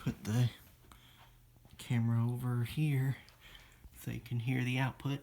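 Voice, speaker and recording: Casual hobby demo presenter: unhurried and gravelly, delivering instructions in halting pieces. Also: medium pitch, flat delivery, warm tone, slight background noise. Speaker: demonstrator in a homemade electronics video. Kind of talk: casual hands-on product demo.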